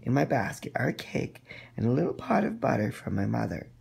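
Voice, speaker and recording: A man's voice: mid-range voice, very animated, a little fast, gravelly, a little mellow, slightly rough, full character voice. A man voicing an innocent, cheerful little girl in a storybook, chatty and trusting. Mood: eager.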